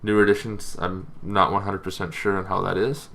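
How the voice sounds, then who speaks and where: A man hosting a tabletop gaming channel, giving a casual, informal explainer with halting, loosely phrased delivery. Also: low voice, level tone, creaky, a bit bright.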